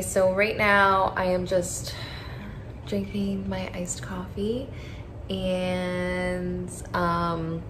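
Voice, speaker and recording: A woman's voice: on the low side, fairly bright tone, reverberant, slight background noise. A woman in her thirties chatting casually to camera in her home vlog, with many "like"s and "um"s. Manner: chatty, filler-heavy, lots of "like" and "um". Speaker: vlogger. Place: kitchen and living room of an apartment.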